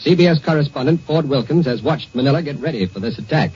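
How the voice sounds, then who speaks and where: A man's voice: on the high side, expressive, fast, a little mellow, a little hiss or hum. A man formally reading a scripted news introduction as an anchor on 1940s radio. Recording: muffled 1940s radio broadcast.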